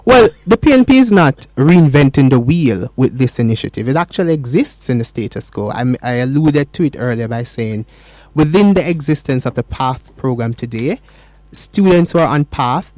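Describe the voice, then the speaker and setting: A man's voice: mid-range voice, lively intonation, moderate pace, darkish tone, no room sound. A man, a campaign spokesperson, answering interview questions in an earnest, explanatory way with frequent fillers. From Jamaica.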